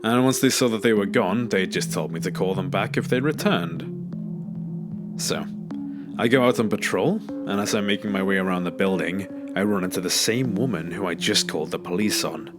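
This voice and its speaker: A man's voice: low-pitched, normal speed. A man reading a scripted first-person scary story as a narrator. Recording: background music under the voice. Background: music.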